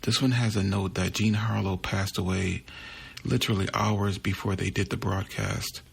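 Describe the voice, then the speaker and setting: Male podcast host, low gravelly voice, scripted intro delivery over music. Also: flat delivery, moderate pace. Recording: music bed behind voice.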